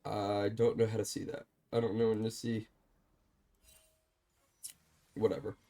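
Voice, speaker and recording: A man calmly walking through a replay of his own game, explaining his choices, with a slightly stuffed-up voice. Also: on the low side, a little slow. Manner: calm, explanatory, self-deprecating. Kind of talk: gameplay commentary over a replay.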